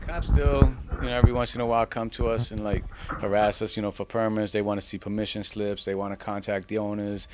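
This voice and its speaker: Low, flat-voiced man. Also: quick pace, hardly any pauses, slight background noise. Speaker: graffiti artist guest. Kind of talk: casual interview answers.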